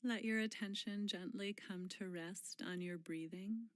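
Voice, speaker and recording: Woman, meditation guide, very slow pace, gentle delivery, soft breathy phrase endings, long pauses, dry close sound. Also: mid-range voice, steady tone, no echo.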